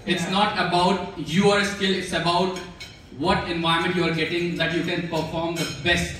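A man's voice: high voice, flat delivery, normal speed, a bit bright. A young man whose first language is Hindi gives a hesitant acceptance speech, full of fillers and restarts, on a stage in a large banquet hall. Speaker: award recipient giving acceptance speech. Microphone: handheld mic heard from far in the room. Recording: echoey hall, recorded from far off. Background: audience chatter and noise.

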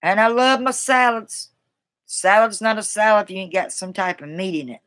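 Middle-aged woman, Carolina Southern accent, medium pitch, folksy and rambling livestream host chatting at home. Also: animated, moderate pace, fairly bright tone. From South Carolina, United States. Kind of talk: casual chat, responding to viewers. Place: small room at home, indoors.

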